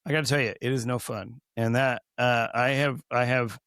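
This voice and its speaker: Hoarse male co-host, gravelly and rambling, easygoing podcast talk. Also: medium pitch, steady tone, normal speed, fairly bright tone, dry recording, studio quality. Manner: rambling, with many restarts. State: hoarse, recovering from lost voice. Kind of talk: casual podcast chat.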